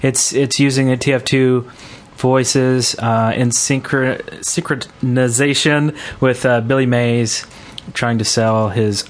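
A man hosts a casual podcast at an ordinary middle pitch. He moves through his segments informally, with a halting delivery full of fillers. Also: fairly even intonation. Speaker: podcast host.

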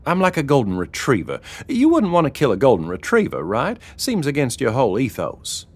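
A flustered, indignant fisherman, acted by a male narrator in an audiobook. He pleads his innocence in a raised, whiny voice that rambles and swings about in pitch. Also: wildly swooping pitch, brisk, breathy edge, heavily acted. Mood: pleading.

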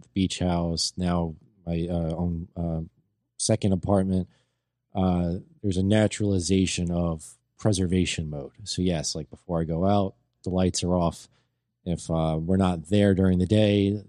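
A man's voice: low voice, warm tone, no room sound, studio quality. A man in his twenties chatting on a talk show, hesitant and full of fillers. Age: 20s. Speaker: co-host. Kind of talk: casual talk show chat.

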